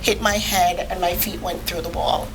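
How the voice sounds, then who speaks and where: A woman's voice: on the low side, steady tone, normal speed, low-quality recording. Matter-of-fact woman from Jamaica over music. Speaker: podcast guest, physician. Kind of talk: conversational personal storytelling.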